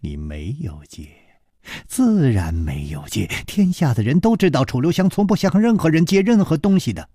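A man voicing a cold, haughty young martial-arts woman in an audiobook, with a deliberate, menacing delivery. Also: on the high side, very animated, mellow, breathy edge, no room sound, clean studio sound, pitched above the performer's own voice. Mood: cold threatening.

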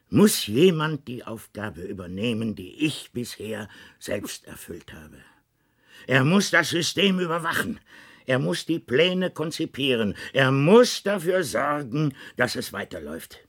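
A man acting in a science fiction radio play as the grave, lonely president of an underground state, speaking in a formal, measured, solemn way with weighted pauses. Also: medium pitch, sing-song, husky, exaggerated voice. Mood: grave, deliberate.

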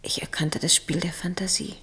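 An actress playing a lovelorn, grieving woman in a literary radio play, speaking in a breathy whisper. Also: fairly low pitch, flat delivery, normal speed, sharp tone, full character voice. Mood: aching longing. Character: lovelorn, grieving woman pining for her absent beloved.

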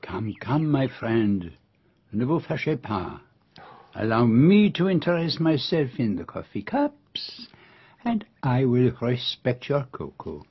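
An actor playing a courteous, shrewd detective with a French accent in a radio drama, formal and measured as he probes and gently teases. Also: mid-range voice, big pitch swings, breathy edge, full character voice. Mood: gently teasing. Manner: formal, polite, measured, probing. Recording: dull, lo-fi radio drama recording.

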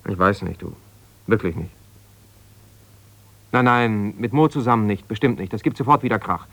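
An actor playing an ambitious, self-justifying lawyer in a German radio drama, speaking haltingly and conversationally as if on the phone. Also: male voice, on the low side, creaky, fairly bright tone. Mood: aggrieved, insistent, then resigned. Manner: conversational, halting, with repeated phrases and pauses.

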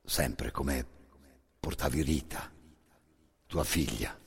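A man narrating a dramatic second-person theatre monologue with intense, halting delivery in short phrases. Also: very deep voice, gravelly, husky. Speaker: actor-narrator. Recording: long hall reverb.

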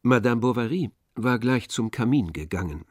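A man narrating a literary radio drama from a script in a measured, descriptive way. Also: medium pitch, animated, no room sound, clean studio sound.

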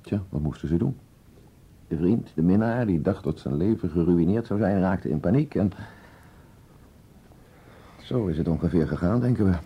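A middle-aged man acting in a radio drama as a police commissioner, questioning in a measured, insistent, dry manner. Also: low-pitched, lively intonation, slightly rough. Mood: measured, probing. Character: male police commissioner, probing, composed, pressing interrogator. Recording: dull radio play recording.

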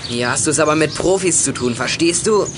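A man's voice: fairly high pitch, a little fast, lightly acted. Man acting a shifty, cocky schoolboy dealer, noisy recording. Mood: menacing, wary. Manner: slangy, curt, threatening. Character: shifty teenage schoolboy drug courier, menacing and cocky. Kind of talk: 1980s German youth radio play acting. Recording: noisy old radio play recording.

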